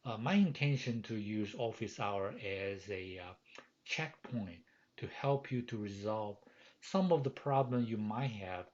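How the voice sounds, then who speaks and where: A middle-aged man from Taiwan, a professor giving a course welcome lecture from his home office, with many fillers and pauses. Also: lowish voice, animated, darkish tone. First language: Chinese. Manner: frequent hesitations and fillers, earnest. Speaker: university professor. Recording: dull lo-fi home recording.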